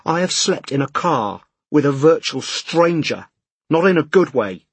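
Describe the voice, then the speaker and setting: Audiobook character: exasperated man performed by male reader, urgent, emphatic, a little rough-edged, close dry sound. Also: mid-range voice, moderate pace, no echo, lightly acted. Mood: exasperated ranting. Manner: emphatic, insistent. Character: harried, exasperated man pleading and ranting urgently.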